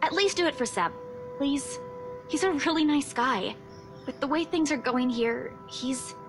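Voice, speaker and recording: A woman's voice: high-pitched, unhurried, music in the background. A woman playing an earnest, anxious young office worker in a visual novel game, pleading and careful, with hesitant delivery. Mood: worried, pleading. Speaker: video game voice actor. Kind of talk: dramatic visual novel dialogue.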